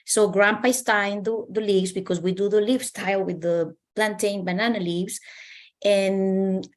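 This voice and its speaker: A middle-aged woman from Costa Rica, a native Spanish speaker with a Costa Rican accent, sharing personal stories as a panelist. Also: mid-range voice. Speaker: panelist, economics professor.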